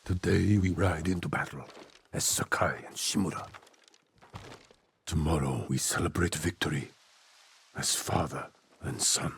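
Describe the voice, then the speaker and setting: A dignified, middle-aged samurai lord for a video game drama. The voice sits very low with a gravelly grain. He speaks in formal, measured, commanding phrases, over faint noise. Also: full character voice. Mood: solemn. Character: stern, dignified older samurai lord and uncle.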